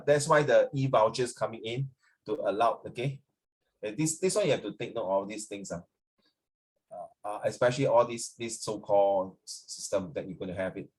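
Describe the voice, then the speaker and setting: Husky Singaporean man teaching online. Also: medium pitch, rough recording. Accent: Singaporean English. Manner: chatty, mixes English and Mandarin, checks in with students by name. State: recovering from flu. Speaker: social studies teacher. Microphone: video call.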